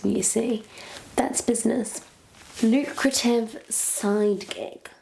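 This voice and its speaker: A woman's voice: a little high, steady tone, vocal fry, a little mellow. A woman playing a sly, self-satisfied witch posing as a missionary, in a theatrical, condescending monologue that savors each word to a captive listener. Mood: smug, teasing. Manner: theatrical, condescending, savoring words with long pauses. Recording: roomy echo, faint background noise.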